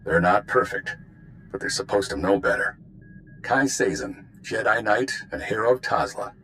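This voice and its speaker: An actor playing a stern, world-weary spymaster who briefs agents in a clipped, dry, authoritative way in a video game. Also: male voice, low voice, fairly bright tone, a little hiss or hum, low-quality recording. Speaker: intelligence commander giving a mission briefing.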